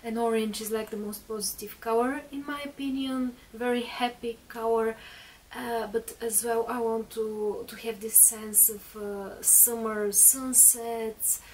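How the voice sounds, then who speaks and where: A woman's voice: a little high, level tone, a little slow, a little mellow, far from the mic, a little hiss or hum, poor audio. A woman in her thirties, a native Bulgarian speaker, chatting through a makeup tutorial in a small bedroom with lots of fillers and restarts. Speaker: beauty vlogger.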